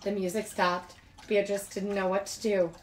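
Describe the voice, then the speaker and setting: A woman's voice: mid-range voice, normal speed, rough recording. Woman reading picture books to kids. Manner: expressive, informal, addressing young children. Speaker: childcare teacher reading aloud. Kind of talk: children's picture-book read-aloud.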